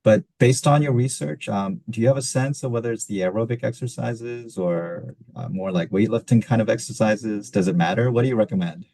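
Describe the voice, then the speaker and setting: Very deep-voiced man, measured and dry. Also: creaky, warm tone, no echo. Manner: measured, explanatory. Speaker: physician, faculty discussant. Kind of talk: webinar Q&A. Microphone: video call.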